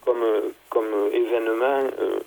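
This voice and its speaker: A man, a theology guest, explaining at length in a halting conversational way, with many fillers and restarts. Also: mid-range voice, gravelly, darkish tone, low-quality recording.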